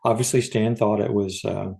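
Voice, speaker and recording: Low creaky guy cohosting a podcast, chatting casually, feels around for the right word. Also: fairly even intonation, normal speed, a little mellow. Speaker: podcast co-host. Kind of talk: casual unscripted conversation.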